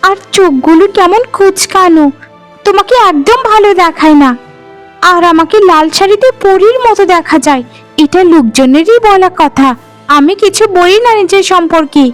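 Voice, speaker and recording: A woman acts out a young cartoon wife with an extremely high voice. She is quarrelsome and vain, scolding and boasting. Faint music plays behind her. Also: normal speed. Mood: scornful, boastful. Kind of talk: cartoon dialogue.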